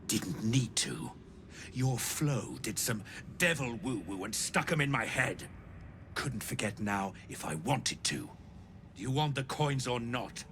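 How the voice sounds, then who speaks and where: An actor playing a gruff, wry game trader who tells grim tales in a blunt, folksy way. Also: male voice, mid-range voice, lively intonation, unhurried, a bit bright. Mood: businesslike, impatient. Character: gruff unhurried male trader, sardonic messenger telling grim soul stories. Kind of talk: video game character dialogue. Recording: constant noisy ambience behind voice.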